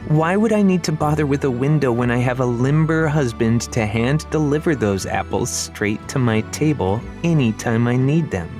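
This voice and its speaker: A man's voice: mid-range voice, animated, normal speed, few pauses, music in the background, pitched above the performer's own voice, lightly acted. A man voicing a confident, nagging fifteen-year-old bride-to-be in an audiobook, scolding and self-assured. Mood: smug.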